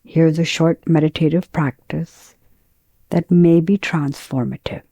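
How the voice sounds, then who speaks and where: A woman teaching guided meditation, speaking in a calm, gentle, measured way with pauses between phrases. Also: deep voice, breathy edge, no echo, studio quality.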